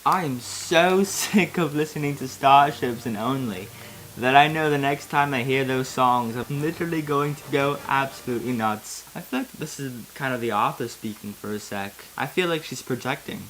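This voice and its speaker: A man's voice: mid-range voice, moderate pace, fairly bright tone, low-quality recording. A man giving a casual, comedic dramatic reading of fan fiction, breaking off into amused, wry asides. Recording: music behind the voice, fluctuating quality. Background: music.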